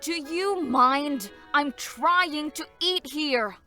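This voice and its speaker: Audio drama, annoyed prim sister, played by a woman: bright, slow, exasperated complaining. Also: high-pitched, faint music behind, exaggerated voice. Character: prim, irritated sister interrupted at her meal.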